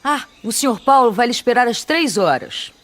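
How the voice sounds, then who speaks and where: A woman's voice: a little high, animated, quick pace, close to an everyday voice. A woman playing a patient, helpful local in a comic radio drama. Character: patient, helpful Brazilian local receiving a visitor. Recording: noticeable ambient background noise.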